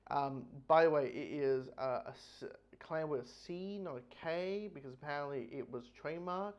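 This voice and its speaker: Dull lo-fi recording; male reviewer, slightly high-voiced, rambling and blunt, casual unscripted delivery. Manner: rambling, halting, repeats phrases. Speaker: book reviewer.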